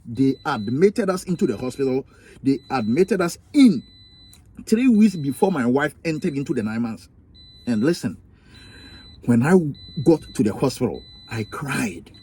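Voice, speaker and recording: A man's voice: mid-range voice, expressive, measured pace, dark tone, breathy edge, rough recording. A young man with a Ghanaian accent telling a personal story in a vlog, repeating himself emphatically. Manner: emphatic, repetitive, preachy storytelling. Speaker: vlogger.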